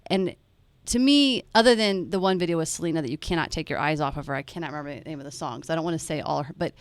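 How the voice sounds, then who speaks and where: A woman director speaks with emphatic conviction, tossing off quick asides as she explains her craft. Her voice sits on the low side, recorded close and completely dry. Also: no room sound. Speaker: film director. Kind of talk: conversational advice to teens.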